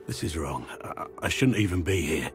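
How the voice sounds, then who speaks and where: An actor voicing a brooding, guilt-ridden middle-aged warrior in a video game, speaking gravely and formally with halting earnestness. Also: male voice, sits low, animated, over music. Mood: anguished, conflicted. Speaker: video game companion character. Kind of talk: dramatic video game dialogue.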